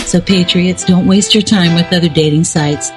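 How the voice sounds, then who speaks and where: A woman's voice: fairly low pitch, steady tone, normal speed. A woman reading a radio ad in a sing-song, upbeat way. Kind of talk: sung-spoken radio ad. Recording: hip hop music bed.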